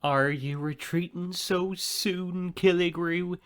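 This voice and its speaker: Man playing a slow-talking cocky young captain. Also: sits high, steady tone, a bit bright, smooth. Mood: taunting. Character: cocky, scornful young upstart male pirate captain. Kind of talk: theatrical audio play acting.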